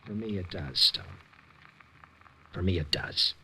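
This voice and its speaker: A man's voice: deep voice, measured pace, heavy background noise. An actor playing a half-crazed gunman bent on revenge, cold and menacing, in a 1950s radio drama. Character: unhinged gunman seeking revenge.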